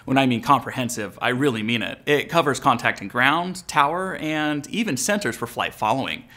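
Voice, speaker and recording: A man's voice: medium pitch, animated, normal speed, pushed and bright. A man in his forties with an American accent, explaining and coaching as a video host in a casual instructional style. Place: furnished living room, indoors.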